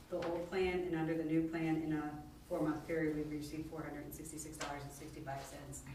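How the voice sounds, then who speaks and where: Low flat-voiced woman, distant and echoey. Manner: steady reading of dates and figures, with fillers. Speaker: council member chairing finance committee. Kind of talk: committee report at a council meeting. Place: council chambers. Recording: echoey chamber, distant mic, background hum.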